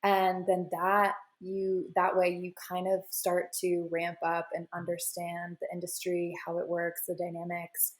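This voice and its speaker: Flat, low-voiced woman giving advice. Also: unhurried, hardly any pauses. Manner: confident advice-giving, many "you know" fillers. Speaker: guest, crypto media founder. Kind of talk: casual interview answers.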